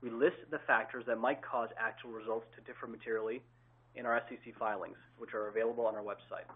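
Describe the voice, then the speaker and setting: A man's voice: medium pitch, little pitch movement, quick pace, slightly rough. A man opening an earnings call, formally reading a scripted disclaimer and introducing the executives. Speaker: investor relations host. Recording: tinny phone line.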